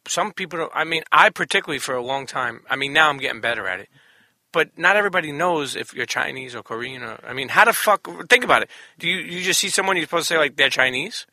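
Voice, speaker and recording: A man's voice: on the high side, lively intonation, fast, bright, forceful tone, no echo. A man hosting a podcast, chatting casually in a blunt, profane manner. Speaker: podcast host. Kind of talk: casual chat.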